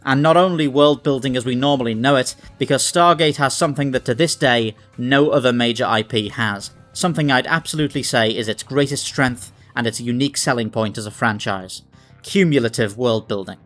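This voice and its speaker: A man's voice: mid-range voice, a little fast, fairly bright tone. A man hosting a scripted video essay, speaking in an articulate, analytical way. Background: background music.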